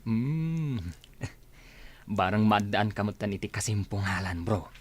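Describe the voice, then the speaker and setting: A man's voice: lowish voice, animated, slow, slight background noise. A man playing a jokey, teasing buddy in an Ilocano comedy radio drama, with an Ilocano accent. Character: teasing, skeptical buddy of the bachelor.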